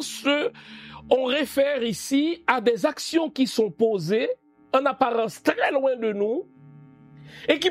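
Commentator, male, from Haiti; emphatic, addresses the listener, drawn-out delivery, soft music under. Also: very high voice. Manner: emphatic, rhetorical questions to the listener. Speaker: political commentator. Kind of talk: spoken commentary.

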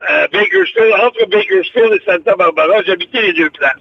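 A man's voice: sits high, sing-song, moderate pace, creaky, far from the mic, muffled recording, a little hiss or hum. An older man, past sixty, with a Quebec French accent, chatting on radio in a rambling, exclamatory way. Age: 60s or older. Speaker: radio show host. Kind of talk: casual reminiscing chat on radio.

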